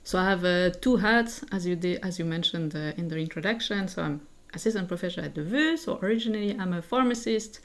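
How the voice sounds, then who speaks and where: Swiss woman, 40s, earnest podcast guest, lowish voice, halting with fillers and restarts. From Switzerland. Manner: earnest, thinks aloud with many fillers and restarts. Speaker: guest, assistant professor and founder. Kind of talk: conversational interview answers.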